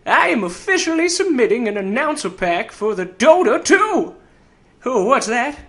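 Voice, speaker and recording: High, bright, sarcastic tiny old treasure hunter. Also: male voice, very animated, moderate pace, full character voice. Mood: cocky, teasing. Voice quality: weird, high-pitched character voice. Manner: cocky, teasing, folksy drawling grammar. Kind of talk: in-character promotional pitch for a game announcer.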